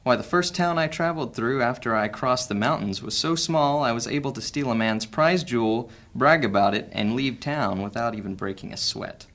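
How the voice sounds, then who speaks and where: An actor playing a cunning, guarded male master thief in an audio drama, speaking in a measured, sly way. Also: mid-range voice, fairly bright tone, natural voice.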